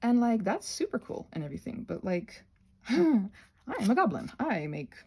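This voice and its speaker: A woman with a lively, swooping voice and some gravel in it chats casually as a craft channel host. She is playful and self-deprecating, wandering between tangents as she works with her hands. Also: fairly high pitch, moderate pace. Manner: playful, self-deprecating, often giggly while talking; digressive, thinking aloud while working with hands. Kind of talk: casual rambling craft chat.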